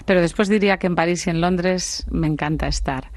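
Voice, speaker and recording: A woman from Spain, a business leader as the guest in a radio studio, answering in a reflective, unhurried way. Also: fairly low pitch, darkish tone, dry recording. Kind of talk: radio interview conversation.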